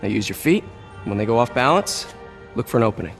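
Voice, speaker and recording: A man's voice: mid-range voice, animated, fast, creaky, soft background music. An actor playing a young male superhero in a video game, a friendly, casual mentor who encourages and wisecracks. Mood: coaching, upbeat. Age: young adult. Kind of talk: naturalistic game cutscene acting.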